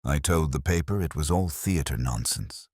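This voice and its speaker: A man's voice: very deep voice, lively intonation, moderate pace, a little mellow, slightly rough, dry recording, clean studio sound, heavily acted. A man voicing a defensive, haughty suburban leading lady in a mystery story, prim and clipped.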